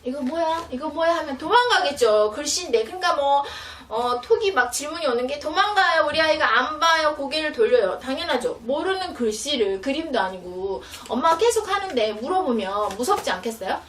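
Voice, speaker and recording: Female educator, higher-pitched, instructive and animated, demonstrating in an echoey room with faint noise. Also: brisk, few pauses. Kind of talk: explanatory product demonstration for parents. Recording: roomy, distant mic, faint noise.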